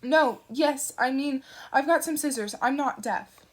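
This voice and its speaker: A woman's voice: on the high side, moderate pace, natural voice. A woman voicing a timid, awkward young man who speaks tentatively and stammers. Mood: nervous.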